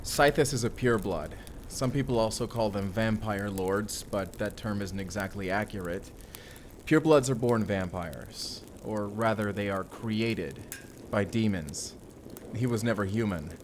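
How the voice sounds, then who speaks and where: A man playing a determined, earnest vampire slayer in a cinematic audio roleplay, explaining things in a measured way. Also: lowish voice, lightly acted. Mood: earnest, resolute. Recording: clearly noisy ambience behind the voice.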